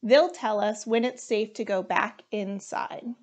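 A woman voicing a calm, caring female animal schoolteacher in a storybook, giving reassuring instruction. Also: mid-range voice, a little slow, lightly acted.

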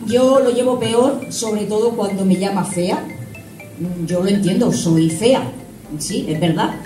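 A woman's voice: medium pitch, unhurried, dark tone, far from the mic. A woman performing a stand-up set on a small club stage, delivering self-deprecating punchlines into a handheld mic. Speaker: stand-up comedian. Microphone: handheld mic on stage, filmed from the room. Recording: roomy live club recording from afar with music.

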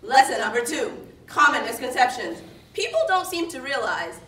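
A woman's voice: high voice, big pitch swings, normal speed, pushed and bright. A young woman performing spoken word poetry on an indoor stage, with an emphatic, declamatory delivery. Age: young adult. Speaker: slam poet. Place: indoor stage in front of a projection screen. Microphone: far camera. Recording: roomy, distant microphone, background noise.

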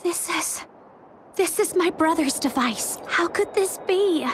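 High-voiced, gentle young girl. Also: lively intonation, a little slow, a little hiss or hum, heavily acted. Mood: troubled. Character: worried, gentle young girl, loyal sister. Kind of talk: video game voice acting.

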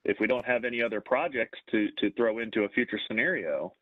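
A man's voice: fairly low pitch, fast talker, no echo. A man on a video call explaining to colleagues, checking in with rhetorical questions. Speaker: planner on a webinar. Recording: tinny, dry phone-like line.

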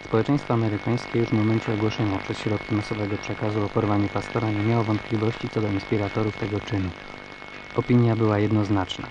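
A man's voice: lowish voice, little pitch movement, fast talker, dull audio, natural voice. A man playing a solemn, formal radio commentator reading scripted political commentary. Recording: noisy radio broadcast with hum.

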